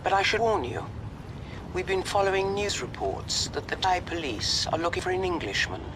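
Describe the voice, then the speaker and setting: An actor playing a stern intelligence handler in a spy game, formal and dry. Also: male voice, high voice, animated, moderate pace, background noise. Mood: cautioning. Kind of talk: cinematic spy game acting.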